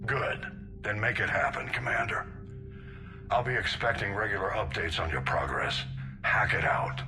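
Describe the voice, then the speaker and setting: An actor playing an older admiral in a video game, grave, deliberate and authoritative. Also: male voice, fairly low pitch, music in the background, natural voice. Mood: grave, commanding. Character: commanding older male admiral, grave and determined. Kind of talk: video game cutscene acting.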